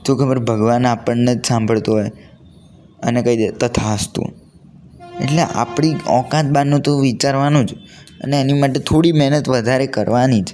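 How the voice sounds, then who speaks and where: A man's voice: medium pitch, steady tone, moderate pace, a little mellow, soft background music. A teenage male vlogger with a Gujarati accent gives an unscripted, earnest pep talk in colloquial speech mixed with English words. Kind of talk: unscripted casual motivational talk to camera.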